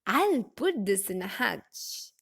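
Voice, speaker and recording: A grumbling old countrywoman from a storybook, acted by a woman, greedy and matter-of-fact. The voice is husky and creaky. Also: mid-range voice, sing-song, takes it slowly, heavily acted. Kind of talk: children's storybook character reading.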